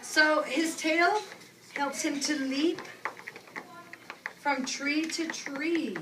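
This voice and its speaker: A woman preschool teacher reading aloud to young children, using simple instructive phrasing, repeating key words and pausing for questions and asides. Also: high voice, steady tone. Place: indoor classroom with young children. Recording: echoey room, distant mic, noisy background.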